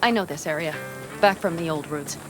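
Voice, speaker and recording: A woman's voice: low voice, brisk, over music, lightly acted, rough recording. An actress playing a blunt, concerned young nomad woman in a video game, speaking earnestly in formal, uncontracted phrasing. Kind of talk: naturalistic video game acting.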